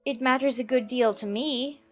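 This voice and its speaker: A woman's voice: sits high, moderate pace, faint music behind. A woman playing a polite, puzzled little girl who speaks primly in a dramatised audiobook. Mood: hasty, defensive. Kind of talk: dramatised audiobook character acting.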